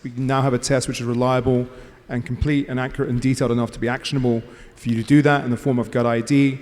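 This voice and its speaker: Man, conference panelist, promotional, hesitant, mid pitch, long reverb. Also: flat delivery, quick pace, a little mellow.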